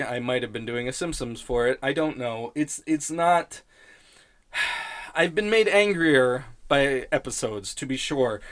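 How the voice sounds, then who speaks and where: A man hosting a TV criticism podcast in a casual, rambling, halting and sardonic way, prone to swearing. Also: a little high, fairly bright tone.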